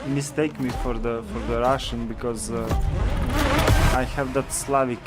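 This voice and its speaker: Male streamer from Europe, slow and searching for words, over faint game music. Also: medium pitch, steady tone. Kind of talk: casual live-stream commentary while driving.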